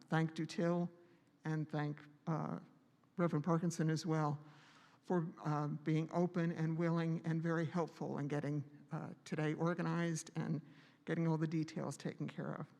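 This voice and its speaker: A man hosting a church meeting with a strained voice from a vocal cord node, talking haltingly with many pauses and fillers. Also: fairly high pitch, little pitch movement, darkish tone. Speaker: meeting host. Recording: long hall reverb.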